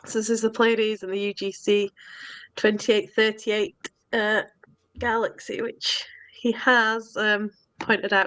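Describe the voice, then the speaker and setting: A woman's voice: mid-range voice, a bit bright, dry recording. A woman co-hosts a casual live chat, her voice nasal and thick with a cold. She laughs often and talks in a loose, friendly way between remarks. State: stuffy with a cold. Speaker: co-host.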